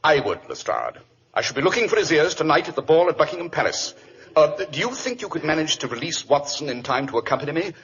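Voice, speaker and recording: An actor playing a confident, urbane British detective with a precise, theatrical manner in 1940s radio drama style. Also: male voice, fairly high pitch, big pitch swings, fast, fairly bright tone. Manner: precise, theatrical, self-assured. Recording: dull vintage radio recording with hiss.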